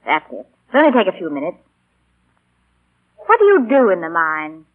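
A young woman acting in 1940s radio style as a self-assured artist, brisk, bantering and teasing. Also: on the low side, wildly swooping pitch. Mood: brisk, amused. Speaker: radio actress. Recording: tinny, band-limited 1940s radio broadcast.

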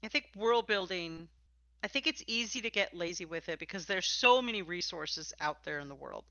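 A woman hosting a conversational interview, talking in an animated, questioning way. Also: on the low side, normal speed, dry recording, dull audio. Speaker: podcast host and interviewer.

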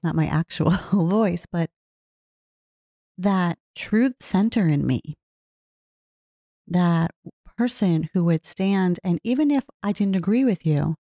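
A woman speaking in an earnest, heartfelt way, reflecting slowly on her own life. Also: fairly low pitch, dark tone, no room sound, studio quality. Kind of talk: reflective personal talk.

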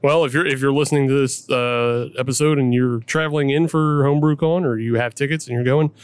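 A man's voice: mid-range voice, steady tone, brisk, smooth, dry recording. A man hosting a casual podcast, joking and profane, laughing often. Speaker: podcast host.

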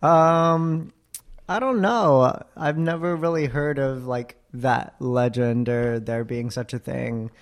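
A young man in his twenties, from Florida, answers questions at an unhurried, halting pace. His voice sits at a middle pitch with a creaky, gravelly texture, and he fills his pauses with soft ums. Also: steady tone. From Florida, USA. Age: 20s. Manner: thoughtful, hesitant, many ums. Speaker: interview guest. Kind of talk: casual interview.

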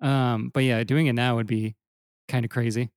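A slightly hoarse man co-hosting a podcast, laid-back and rambling in casual chat. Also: mid-range voice, steady tone, moderate pace, no echo, clean studio sound. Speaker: podcast co-host and musician.

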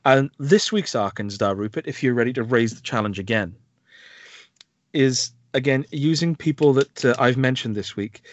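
A man's voice: medium pitch, moderate pace, lo-fi sound. A man with a Welsh accent co-hosting a film podcast, chatting casually and hesitantly with lots of fillers. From Wales. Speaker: podcast co-host. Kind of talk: casual chat.

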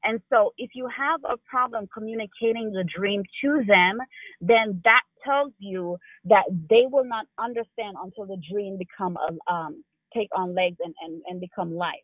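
Jamaican lady telling her story, lively, sounds like a phone call, dry. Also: mid-range voice, no echo. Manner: animated storyteller, quick laughs. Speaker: guest. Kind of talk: casual interview. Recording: tinny phone line.

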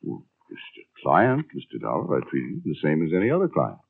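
A man's voice: very deep voice, wildly swooping pitch, brisk, vocal fry, phone-quality sound, exaggerated voice. A man playing a guarded, stiffly dignified veteran insurance broker in a mid-century American radio drama. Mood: evasive, defensive. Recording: thin band-limited vintage radio recording.

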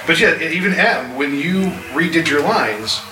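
A man speaking haltingly and giving compliments during a casual live panel discussion. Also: fairly high pitch, lively intonation. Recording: echoey, distant, noisy live room.

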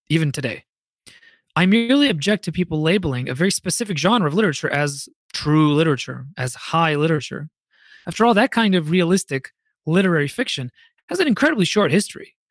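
Guy doing a solo podcast essay, slightly high voice, bookish and opinionated, pretty dry close sound. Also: no echo. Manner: literate, opinionated, emphatic with deliberate pauses. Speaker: podcast host.

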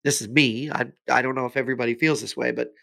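A man hosting a relaxed podcast conversation. His mid-pitched voice sounds bright and tense. He thinks out loud, searching for the right words as he goes. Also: fast, gravelly. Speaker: podcast host. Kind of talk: casual podcast chat.

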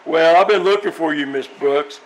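A man's voice: on the high side, moderate pace, natural voice, poor audio. A man playing a dim, earnest teenage schoolboy with a gawky, hesitant manner in an old-time radio comedy. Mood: earnest, puzzled. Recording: live stage recording with background noise.

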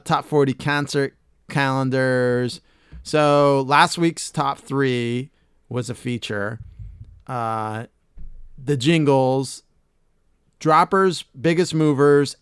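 A man's voice: medium pitch, smooth, no room sound, clean studio sound. A middle-aged man hosting a casual podcast chat, reading facts aloud and swearing casually. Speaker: podcast host.

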